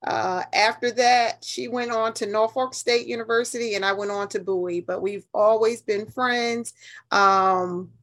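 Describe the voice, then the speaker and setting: A woman's voice: medium pitch, a bit bright. A woman in her fifties with an American accent, telling stories casually and emphatically as an interview guest. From New Haven, United States. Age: 50s.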